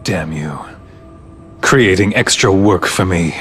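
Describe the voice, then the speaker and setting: A man playing a calculating middle-aged director, a coldly grandiose game villain who speaks in a theatrical, condescending, measured manner. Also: deep voice, animated, a bit bright, faint music behind, exaggerated voice. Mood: irritated. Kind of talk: dramatic game cutscene acting.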